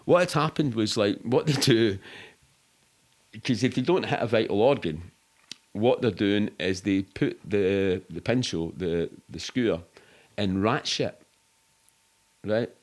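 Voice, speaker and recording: A man's voice: lowish voice, measured pace, long pauses. A middle-aged Scottish man telling stories casually as an interview guest, rambling and sweary in a matter-of-fact way. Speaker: podcast guest.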